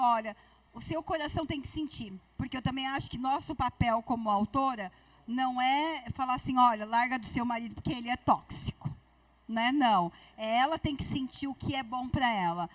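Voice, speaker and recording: Woman author, high voice, halting and thoughtful, with a dry sound from a distant mic. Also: no echo. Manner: reflective, hesitant, with many fillers. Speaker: author on a panel. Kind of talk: panel discussion at a book fair. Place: book fair stage.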